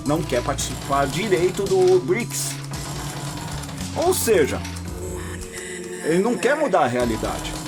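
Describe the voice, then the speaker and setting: A Brazilian man hosting a podcast, delivering an opinionated monologue straight to his listeners and jumping into high mocking voices when he quotes people. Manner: addresses listeners directly as "my dear". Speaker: podcast host.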